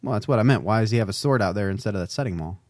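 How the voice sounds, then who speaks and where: A man's voice: fairly low pitch, racing, a little mellow, no echo, studio quality. A man co-hosting a podcast, chatting casually in a wry, teasing way. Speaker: podcast co-host. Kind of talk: casual banter and discussion.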